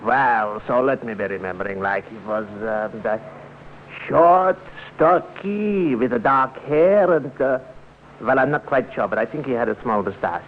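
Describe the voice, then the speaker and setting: A second-hand dealer from a vintage radio mystery, played by a man, plain-spoken and wary. He talks colloquially, turning things over as he goes, at an ordinary male pitch. The sound is narrow and tinny. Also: a little slow, a little hiss or hum, natural voice. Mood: hesitant, wary. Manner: hesitant, pondering, colloquial. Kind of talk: 1950s radio drama character acting.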